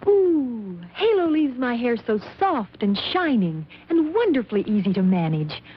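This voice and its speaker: High-pitched cartoon damsel. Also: female voice, sing-song, unhurried. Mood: delighted. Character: sweet, dramatic cartoon damsel in distress. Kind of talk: 1950s cartoon commercial acting. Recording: muffled, very noisy old film soundtrack.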